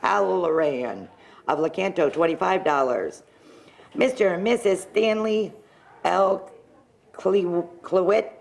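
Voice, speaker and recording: A woman's voice: fairly low pitch, takes it slowly, creaky, a little mellow. A woman on a telethon, first chatting eagerly about her donation and then reading out donor names and amounts, tripping over hard names and apologizing. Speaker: local business owner donating to a telethon. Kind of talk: casual chat, reading donor names aloud. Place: television telethon studio. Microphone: handheld microphone.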